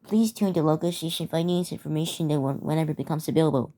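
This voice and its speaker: Dark-voiced woman stumbling through emergency announcements. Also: low voice. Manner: halting, stumbling over words and repeating phrases. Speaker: narrator of a hobby emergency alert scenario. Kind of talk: scripted emergency announcement read aloud.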